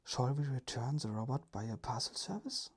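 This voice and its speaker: A storyteller voicing a friendly, considerate male family member in a breathy ASMR storybook whisper. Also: mid-range voice, lively intonation, moderate pace. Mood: polite.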